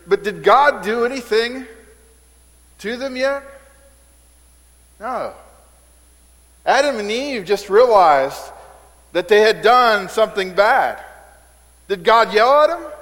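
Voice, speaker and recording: A male pastor preaching a conversational sermon in a church, repeating words as he builds emphasis. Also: fairly bright tone. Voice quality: says he has a squeaky voice. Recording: reverberant hall sound.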